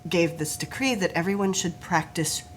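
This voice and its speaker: A woman's voice: on the low side, normal speed, slight background noise, low-quality recording. A woman guest on a talk show, a forest therapist, explaining her work in an earnest, informative way. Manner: earnest, informative, laughs easily, some restarts.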